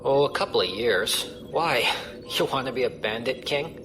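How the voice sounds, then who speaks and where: A man's voice: mid-range voice, expressive, moderate pace, music in the background, close to an everyday voice. An actor playing a chummy, teasing pirate crewman in an audio drama.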